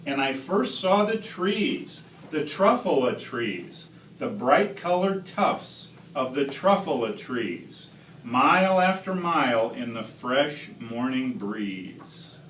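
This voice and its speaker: A man reading a rhyming picture book aloud to children, expressively, with deliberate, dramatic pauses. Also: mid-range voice, sing-song, a bit bright. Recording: roomy, distant home video with slight noise.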